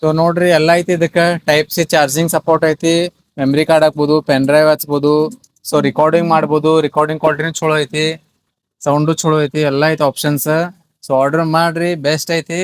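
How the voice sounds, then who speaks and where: A man with a North Karnataka Kannada accent hosts a casual product unboxing. His voice sits a little high and stays very even in pitch as he repeats his selling points, dropping in English words. The sound is dry, with little room in it. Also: fast, no echo, low-quality recording. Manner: repetitive salesman patter with English words mixed in. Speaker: shop video host and product presenter.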